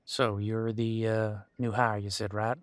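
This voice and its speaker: A man's voice: fairly low pitch, little pitch movement, a little slow, dry recording, lightly acted. A man playing a friendly, long-serving supernatural squad cop in an audio roleplay, chatting in an easygoing, folksy, slightly hesitant way. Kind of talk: conversational audio roleplay to an unseen partner.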